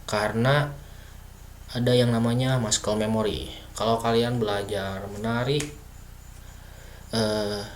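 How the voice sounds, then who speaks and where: A man's voice: on the low side, a little hiss or hum. A man teaching basic coding in a casual screen-recorded lesson, thinking aloud with many fillers and self-corrections. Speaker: self-taught coding tutor.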